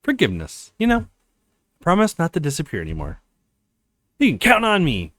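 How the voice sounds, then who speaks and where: A man voices a young male student in a visual novel, earnest and apologetic. He pleads sincerely in a fairly high male voice that moves up and down a lot. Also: huge pitch swings, a little fast, a bit bright, dry recording, studio quality, full character voice.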